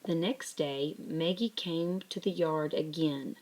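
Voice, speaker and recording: Woman reading a kids story out loud, low voice, slow and careful, expressive. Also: rough recording. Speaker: storyteller reading a picture book aloud. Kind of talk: scripted children's story narration.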